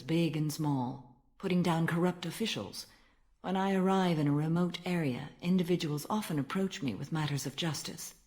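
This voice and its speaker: An actress playing a stern, ancient alien warrior-monk in a video game, speaking in a formal, measured and solemn manner. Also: female voice, sits low, a little mellow, natural voice. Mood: stern, composed. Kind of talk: dramatic video game dialogue.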